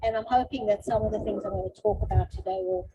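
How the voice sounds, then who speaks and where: A woman's voice: medium pitch, steady tone, fast talker. A middle-aged woman giving an informal, reflective talk as a guest speaker, explaining things directly to her listeners. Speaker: guest speaker on relationships and life stages. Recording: noisy, dull lo-fi sound with clicks.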